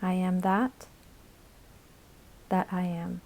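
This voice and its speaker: A woman guiding a yoga nidra meditation in a calm, soothing, instructional manner, with measured phrases and pauses. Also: fairly low pitch, creaky. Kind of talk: guided meditation narration.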